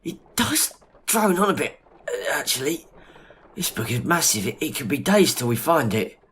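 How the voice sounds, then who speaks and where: A man's voice: on the high side, sing-song, moderate pace, a bit bright, slight background noise. A man acting a chatty, jittery, squeamish sidekick in an audio drama. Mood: chatty, bemused.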